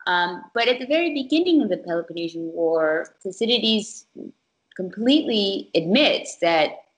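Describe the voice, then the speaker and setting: A woman interviewer asking long, halting questions with repeated words. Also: medium pitch, lively intonation, rough recording.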